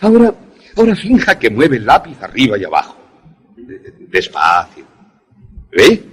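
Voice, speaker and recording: A man acting an enthusiastic professor in a radio drama, lecturing in a deliberate, didactic, theatrical way. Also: medium pitch, huge pitch swings, a bit bright, husky, exaggerated voice. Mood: pleased, explanatory. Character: enthusiastic male professor demonstrating an invention to students.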